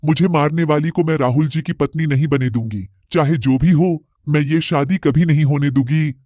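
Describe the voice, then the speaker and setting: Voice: medium pitch, normal speed, mellow, no room sound, dull audio, lightly acted. A performer voicing a vengeful female ghost witch, a murdered wife, in a cartoon horror story. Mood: vengeful, defiant. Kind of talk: Hindi cartoon horror voice acting.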